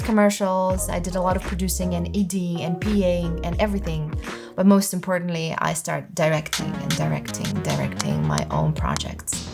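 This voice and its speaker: A woman in her thirties from Kazakhstan with a Kazakh accent, earnestly telling her own life story as a filmmaker. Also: on the low side. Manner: earnest, reflective, lists in short phrases. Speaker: filmmaker vlogger. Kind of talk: personal storytelling to camera.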